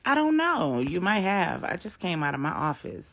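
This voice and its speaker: A woman's voice: on the low side, wildly swooping pitch, normal speed, gravelly, pitched above the performer's own voice, full character voice. A woman storyteller voicing a friendly, easygoing female pastor with a casual, breezy manner. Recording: dull lo-fi microphone.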